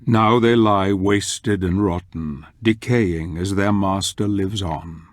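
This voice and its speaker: A man acting as a solemn older narrator of a gothic fantasy game, telling the tale in a grave, measured, theatrical way. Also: low-pitched, no room sound. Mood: grave, mournful. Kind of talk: scripted dramatic narration.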